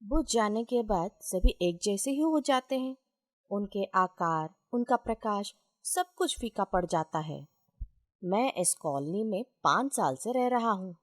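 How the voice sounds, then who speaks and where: A woman storyteller voicing a wise, humble old retired gentleman who gives measured, philosophical counsel. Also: medium pitch, animated, dry recording, studio quality. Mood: calm, admonishing.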